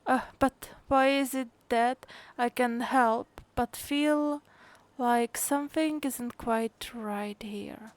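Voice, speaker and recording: A woman's voice: a little high, slow pace, no echo. A woman game streamer chatting casually and reading game dialogue aloud in a musing, hesitant way.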